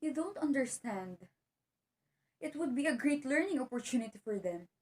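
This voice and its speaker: Woman acting an earnest young schoolteacher, higher voice. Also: lively intonation, normal speed. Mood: pleading. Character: earnest, determined young woman teacher, a dutiful daughter. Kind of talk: amateur one-act play acting.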